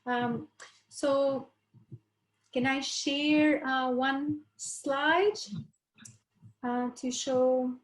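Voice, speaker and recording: A woman from Albania in her thirties, giving a relaxed academic talk on a video call, with many ums and uhs. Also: sits high, drawn-out words, pauses often. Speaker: panel speaker, urban researcher. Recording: echoey room sound.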